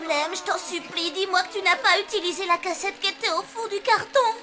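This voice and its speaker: A woman voicing an excitable, bossy and anxious young child film director in a French cartoon dub, with emphatic, dramatic delivery. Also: very high voice, a little fast.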